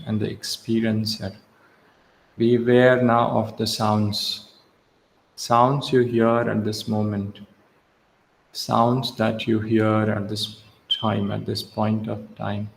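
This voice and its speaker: A man leading a guided relaxation as a yoga instructor, speaking in short, measured instructions with long pauses, recorded in a reverberant room. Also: lowish voice, vocal fry. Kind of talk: slow guided meditation. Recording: echoey reverberant room, faint background hiss.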